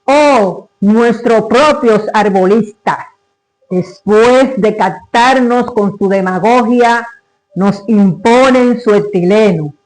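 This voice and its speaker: Male reciter for a dramatic literary monologue: high register, unhurried, solemn and declamatory delivery. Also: darkish tone, poor audio.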